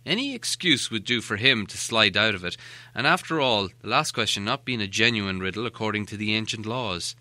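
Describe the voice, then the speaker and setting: Audiobook narrator, male: dramatic, animated storytelling, very crisp bright edge, dry sound. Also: fairly low pitch, a little fast, no echo.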